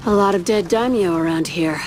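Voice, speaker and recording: An actress playing a tough, taunting female warrior, deliberate and mocking, in a dramatic video game scene. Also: lowish voice, darkish tone, slight background noise, close to an everyday voice. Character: tough, taunting female warrior hunting through a war zone. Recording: music behind the voice. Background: music.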